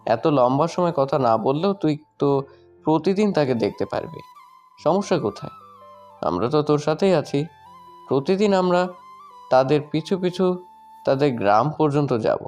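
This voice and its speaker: A man as supportive schoolboy pal, reassuring, fairly high male voice, soft music underneath. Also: lively intonation, moderate pace, long pauses, natural voice. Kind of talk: Bengali audio story acting.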